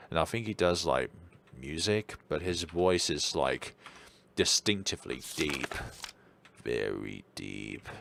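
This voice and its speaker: A man with a naturally deep voice, live-streaming a game in casual chat and muttering to himself as he plays. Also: gravelly, slight background noise. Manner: relaxed, muttering to himself while playing. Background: faint game music.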